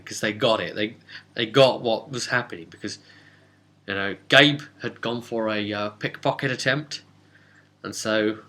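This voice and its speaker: A man hosting as game master, informally recapping a tabletop roleplay session in an animated, reflective way. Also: fairly low pitch. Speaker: game master and channel host.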